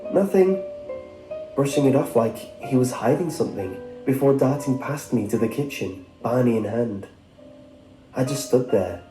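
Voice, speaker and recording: A man reading a scripted first-person story as a narrator, with a dark, muted tone. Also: mid-range voice. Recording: roomy sound with music behind. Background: background music.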